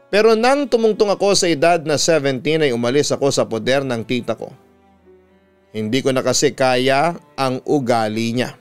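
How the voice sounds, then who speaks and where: A Filipino man narrating a listener's letter in the first person like a radio storyteller, in measured, deliberate phrases. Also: medium pitch, clean tone. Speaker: radio announcer and storytelling host. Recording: music playing behind the voice. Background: instrumental music.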